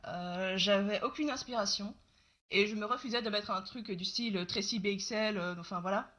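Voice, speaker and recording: A woman in her late twenties with a light Belgian French accent talks over a video call. Her voice is bright and clear, and her pitch sits in a middle range. She answers in a relaxed, hesitant way with frequent pauses. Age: late 20s. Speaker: interview guest, YouTube game master. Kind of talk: casual interview chat.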